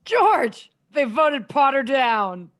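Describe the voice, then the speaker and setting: Woman acting earnest board official, slightly high voice, amateur radio play. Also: big pitch swings, slow pace, slight background noise, heavily acted. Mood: urgent. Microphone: video call.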